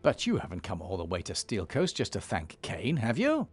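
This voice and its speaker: Low-voiced, animated warrior leader. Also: male voice, moderate pace, slightly rough, soft background music, heavily acted. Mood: measured. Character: commanding male warrior leader, measured and decisive. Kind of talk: fantasy video game voice acting.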